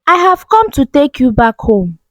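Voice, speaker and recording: A woman's voice: sits high, very animated, normal speed, fairly bright tone, no room sound. A woman voicing a jilted, obsessive young man in a dramatic, insistent way for a story. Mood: angry, determined.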